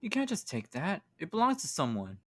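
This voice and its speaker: Actor as young monster rookie, earnest, hesitant, moralising, animated pitch, cartoon dub. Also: brisk, no echo. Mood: indignant. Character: earnest, principled young rookie Pokemon explorer. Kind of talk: animated comic dub acting.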